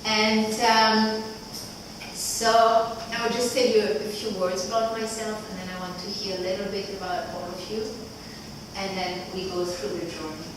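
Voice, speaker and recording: A Swiss woman in her forties with a French accent speaks casually to a group, rambling with loose fillers. Her voice is picked up from a distance in a very echoey room. Steady ambient noise sits under her words. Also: medium pitch, normal speed. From Switzerland. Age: 40s. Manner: chatty and loose, many "like" fillers. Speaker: workshop facilitator.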